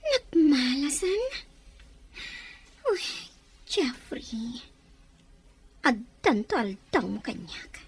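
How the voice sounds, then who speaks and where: A woman playing a possessive, lovesick woman in a radio drama with theatrical, drawn-out delivery. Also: high-pitched, very animated, breathy edge, exaggerated voice. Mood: scornful, scheming.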